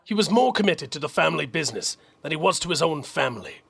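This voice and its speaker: A man playing a dutiful young army captain in an audio drama, earnest and measured. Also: a little high, animated, gravelly, fairly bright tone, husky, full character voice. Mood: firm, resolute.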